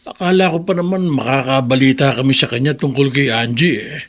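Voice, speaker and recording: A man's voice: medium pitch, a little fast, natural voice. A man playing a worried old grandfather. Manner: gentle, worried, folksy. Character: worried elderly grandfather, superstitious and kind. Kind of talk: radio drama acting.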